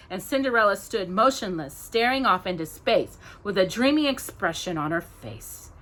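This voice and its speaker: A woman's voice: mid-range voice, fairly bright tone. A woman reading a children's story aloud with dramatic, emphatic narration. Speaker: storytime reader.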